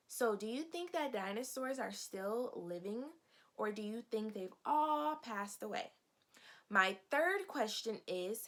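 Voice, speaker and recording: A woman teacher reading a picture book aloud to young children, expressive, explaining simply and asking questions. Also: medium pitch.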